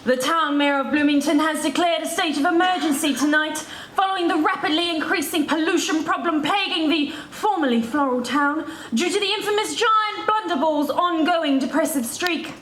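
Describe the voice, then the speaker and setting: High, echoey panto news reporter played by a woman. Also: a bit bright. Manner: projected, declamatory, formal. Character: breathless, dramatic female TV news reporter in pantomime. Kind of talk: theatrical mock news report on stage. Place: indoor theatre stage. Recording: echoey theatre stage, ambient noise.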